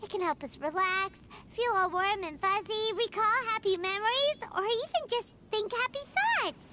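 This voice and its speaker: A woman voicing a tiny, cheerful, childlike fairy companion in a video game, chatty and excitable with a squeaky voice. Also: normal speed, noisy background. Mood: musing. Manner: refers to self in third person, bubbly. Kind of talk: video game character dialogue.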